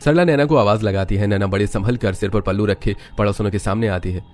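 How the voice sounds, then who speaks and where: A man's voice: on the low side, quick pace, faint music behind. A man narrating a scripted family story as a third-person storyteller.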